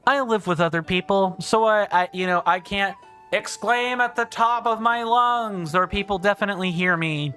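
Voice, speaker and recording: A man livestreaming a game, chatting casually and reading out viewer comments, with a big booming voice. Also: moderate pace, sharp tone, faint music behind. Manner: chatty, thinks aloud, reads viewer comments. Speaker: streamer. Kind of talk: casual gameplay livestream chat.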